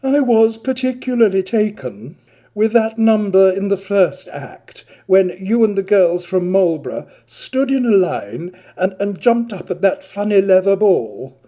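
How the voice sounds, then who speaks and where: Guy doing a camp posh-school teen wit, swoopy affected drawl, slow and velvety, storybook reading. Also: sits high, expressive, mellow, voice pushed up. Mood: mocking, amused. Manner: theatrical, florid, affected drawl. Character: flamboyant, witty, camp teenage public schoolboy, teasing and grandiose.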